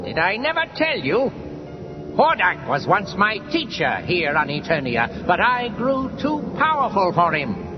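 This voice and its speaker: Very high-pitched sneering cartoon villain. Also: male voice, huge pitch swings, unhurried, exaggerated voice. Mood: boastful. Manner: haughty, sneering, theatrical. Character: arrogant, scornful evil sorcerer overlord of a dark stronghold. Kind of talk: 1980s cartoon voice acting for a storybook record. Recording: dull lo-fi tape with music behind.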